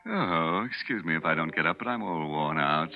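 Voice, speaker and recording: A ghoulish, low-voiced horror host. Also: male voice, animated, normal speed, creaky, exaggerated voice. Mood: mock-genial menace. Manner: tongue-in-cheek, macabre, theatrical. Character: ghoulish, sardonic male host of a horror show. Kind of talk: 1940s radio horror hosting. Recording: muffled 1940s radio recording with organ music.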